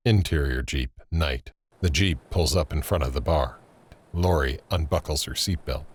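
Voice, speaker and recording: Very deep-voiced male narrator. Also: moderate pace, husky. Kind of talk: scripted narration of audio drama, screenplay-like. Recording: faint ambient noise under narration.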